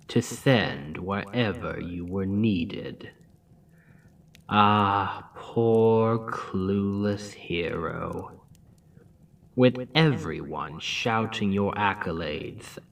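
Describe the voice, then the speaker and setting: Man doing a slow mocking demon king. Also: low-pitched, far from the mic, heavily acted. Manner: slow, soft-spoken, mocking drawl with dips into whisper. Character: proud scheming male demon king back from death. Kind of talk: ASMR roleplay.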